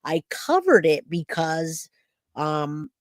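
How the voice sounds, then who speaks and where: A man hosting a true crime livestream, hoarse and raspy from a cold, chatting casually and greeting viewers by name. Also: fairly high pitch, sing-song, takes it slowly.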